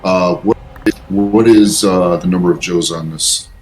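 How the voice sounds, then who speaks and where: Low-voiced man playing a tabletop game. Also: steady tone, darkish tone, a little hiss or hum, low-quality recording. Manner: halting, thinking aloud. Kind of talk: casual in-character role-play.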